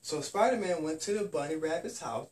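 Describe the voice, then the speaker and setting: A man's voice: on the high side, animated, fairly quick, darkish tone. A man improvising a bedtime story for a child in simple, repetitive phrases. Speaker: father telling a bedtime story. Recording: distant microphone.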